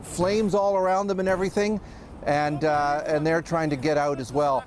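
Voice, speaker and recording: A man giving an unscripted live news report as a correspondent, halting and often restarting his phrases. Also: on the high side, fairly even intonation. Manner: halting, hesitant, frequent ums and restarts.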